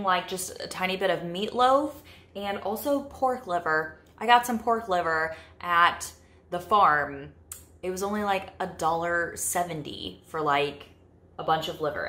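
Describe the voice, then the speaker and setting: A woman's voice: on the low side, pushed and bright. Chatty young woman filming in her kitchen. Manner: chatty, many fillers like "like" and "you know". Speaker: vlogger. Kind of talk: casual chat to camera. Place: home kitchen, indoors. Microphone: camera in front of her at close range.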